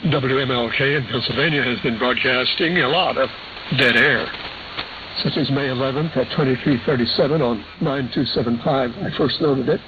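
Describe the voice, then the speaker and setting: A man presenting a radio programme, reading scripted news and listener reports in a measured, matter-of-fact way over shortwave radio. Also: mid-range voice. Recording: hissy, dull AM shortwave radio reception.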